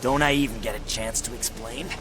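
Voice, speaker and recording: A sardonic, injured rogue monster hunter in a fantasy game, played by a man. He is mocking and nonchalant in a mid-range male voice. Clear music runs underneath. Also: mid-range voice, brisk, lightly acted, low-quality recording. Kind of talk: video game character acting.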